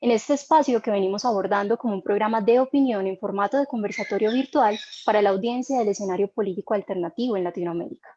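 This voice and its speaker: A woman moderating an online panel, reading a formal introduction in a measured way. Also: medium pitch, dark tone, muffled recording.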